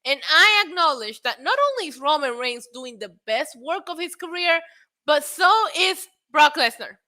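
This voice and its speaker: A woman in her late thirties hosting a casual wrestling live stream, excitable and a little tipsy, laughing, swearing and dropping in Spanish phrases as she answers chat. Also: high-pitched, moderate pace. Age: 30s. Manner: frequent laughter and profanity. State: tipsy from drinks. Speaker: wrestling show host.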